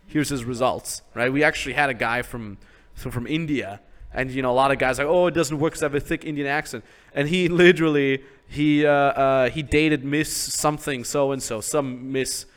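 A man hosting a podcast, giving casual business advice step by step. He keeps acting out sample sales pitches and checking in with "right?". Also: fairly bright tone, smooth. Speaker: business coach host.

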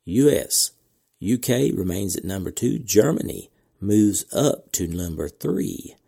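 A man hosting a podcast, reading announcements in a measured, deliberate way with casual asides, his voice cracking from a slight cold. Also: on the low side, animated, creaky, mellow, slightly rough. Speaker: podcast host.

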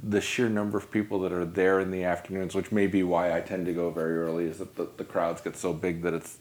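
A man's voice: sits low, little pitch movement, quick pace, darkish tone. A man from New York hosting a podcast, chatting casually with a guest and dropping joking asides. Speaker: podcast host. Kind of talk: conversational interview.

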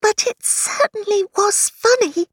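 A woman's voice: extremely high pitch, unhurried, no echo, clean studio sound, voice pushed up. A woman voicing a polite, wide-eyed little girl musing in a storybook. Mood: wondering.

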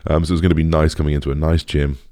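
A young British man with a deep, raspy voice, chatting casually as a podcast host in a rambling, informal way. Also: level tone, racing, mellow, no echo, clean studio sound. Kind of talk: casual solo podcast chat.

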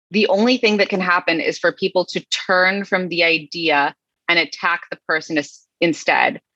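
A woman co-host with a bright, forceful and somewhat husky voice. She reads comments aloud in a deadpan, slow and weighty way. The sound is dry and a little dull, like a lo-fi mic. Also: fairly low pitch, dry recording. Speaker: podcast co-host. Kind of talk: dramatic reading of comments.

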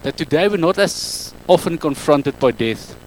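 A man in his thirties speaking English with an Afrikaans accent, giving a public talk on a handheld mic in a halting, self-correcting way. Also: on the high side, expressive, a little hiss or hum, poor audio. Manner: halting, self-correcting non-native English with frequent ums. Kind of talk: public talk to a live audience.

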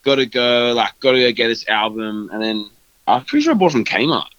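A man's voice: mid-range voice, big pitch swings, fairly quick, a bit bright, no room sound, highs cut off. A young man talking casually in an interview, loose and rambling with lots of fillers. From Australia. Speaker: guest band vocalist.

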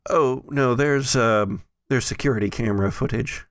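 A man improvising as game master in a tabletop game, speaking haltingly as he searches for words. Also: mid-range voice, fairly bright tone, no room sound, studio quality.

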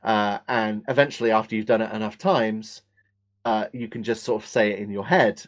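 English voice actor guest, slightly low-pitched, easygoing storyteller in interview. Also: male voice, fairly even intonation, moderate pace. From England. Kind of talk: relaxed interview answers.

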